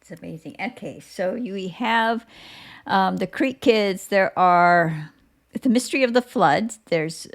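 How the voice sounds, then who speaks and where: A woman's voice: mid-range voice, measured pace. A woman hosting a podcast, asking questions in a conversational interview style.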